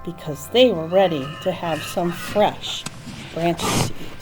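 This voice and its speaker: A woman telling a story to young children in an expressive, dramatic manner, with emphatic repeated phrases. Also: fairly low pitch, slow, rough recording. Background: music and sound effects.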